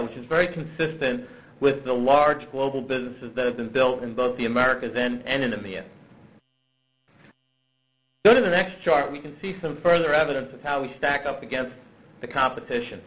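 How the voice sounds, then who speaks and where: A man's voice: mid-range voice, fairly bright tone. Businessman presenting, slightly dull audio. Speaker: company executive presenting to analysts. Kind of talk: prepared business presentation on a conference call.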